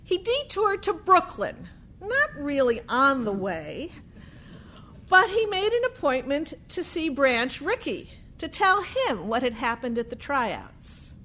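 Woman, oral storyteller, high-pitched, slow deliberate delivery, dramatic emphasis, historical narrative. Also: wildly swooping pitch, sharp tone.

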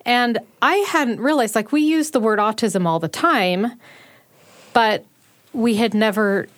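A woman's voice: a little high, a little slow. A woman being interviewed, casually telling a personal story and acting out remembered dialogue. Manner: conversational, acts out remembered dialogue. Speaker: interviewed parent.

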